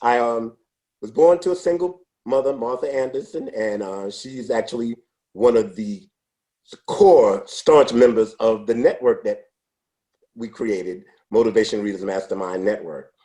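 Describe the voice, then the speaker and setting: A man in his sixties, a podcast interview guest, telling his life story in an unhurried, reflective way with frequent restarts. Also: medium pitch, warm tone, rough recording. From United States. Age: 60s. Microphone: podcast microphone.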